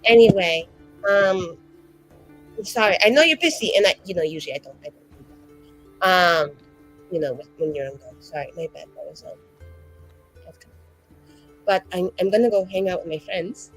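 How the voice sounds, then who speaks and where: A woman's voice: on the low side, animated, normal speed, pauses often, soft background music, natural voice, low-quality recording. A woman voicing a flamboyant, chatty young female mage, rambling and full of fillers. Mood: fussing.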